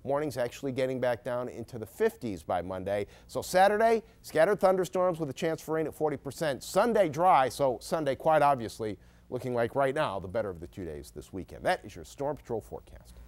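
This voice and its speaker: A man's voice: medium pitch, big pitch swings, brisk, darkish tone. A man presenting a TV weather forecast in a conversational, explanatory way, as if talking the viewer through it.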